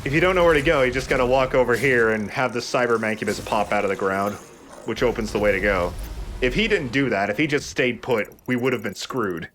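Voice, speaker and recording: A man's voice: medium pitch, fairly quick, a bit bright. A man giving casual, joking let's play commentary as he games. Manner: joking, bantering.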